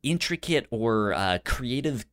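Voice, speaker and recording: A man's voice: medium pitch, sing-song, unhurried, a bit bright. A man co-hosting a film podcast, talking casually and enthusiastically, with a stutter that repeats sounds and syllables. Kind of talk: casual movie discussion.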